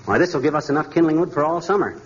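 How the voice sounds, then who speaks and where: An actor playing a boastful, folksy, excitable middle-aged husband in a 1940s radio comedy, on a very noisy old recording. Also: male voice, fairly high pitch, very fast, muffled recording, close to an everyday voice. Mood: casual, wisecracking.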